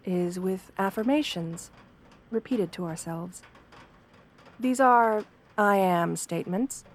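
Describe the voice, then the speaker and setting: A woman plays a kind wolf-girl therapist in an audio roleplay. Her voice is soft and mid-pitched, with a measured, soothing delivery. She sounds professional and reassuring, guiding a patient gently. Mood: caring, encouraging, then calm, guiding. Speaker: therapist character speaking to a patient. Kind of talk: ASMR roleplay, guided affirmations.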